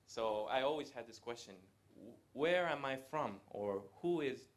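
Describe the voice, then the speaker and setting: A man, giving a live presentation to an audience in a conversational way, pausing often to hesitate. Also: medium pitch, lively intonation. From Latin America. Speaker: guest speaker. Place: event room with a live audience.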